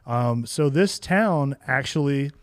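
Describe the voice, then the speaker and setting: An American man in casual podcast conversation, with a mid-range voice and a dry, echo-free sound. He tells stories and jokes in a deadpan, easygoing way as a co-host. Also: medium pitch, slow. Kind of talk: casual chat, storytelling.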